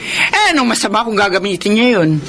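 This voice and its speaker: Defiant middle-aged Filipina mother, radio drama: medium-pitched, lively, forceful. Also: brisk, rough recording. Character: defiant widowed mother of fifty-five, stubbornly in love.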